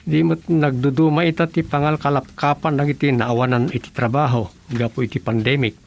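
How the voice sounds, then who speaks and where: Actor as a gravelly Ilocano townsman with schemes. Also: mid-range voice, steady tone, brisk, close to an everyday voice. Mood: eager, persuasive. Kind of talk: Ilocano radio comedy drama dialogue.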